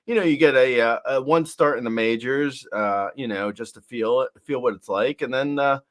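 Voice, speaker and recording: A man hosting a sports podcast, chatting casually about baseball in a halting, wryly joking way with repeated words. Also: mid-range voice.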